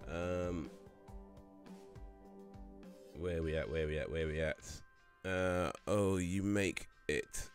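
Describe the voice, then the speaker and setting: A man's voice: deep voice, fairly even intonation, slow, long pauses, faint music behind. A man in his late thirties hosting a casual livestream Q&A, rambling through chat questions with lots of fillers and restarts and frequent chuckles. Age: 30s.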